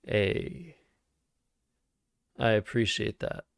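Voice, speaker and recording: Slow, calm man with vocal fry. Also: fairly low pitch, dry recording, studio quality. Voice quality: calm, soothing voice. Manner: thinks aloud over moves, soft-spoken and unhurried. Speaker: Scrabble streamer. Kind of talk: live-streamed game commentary. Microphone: cheap microphone.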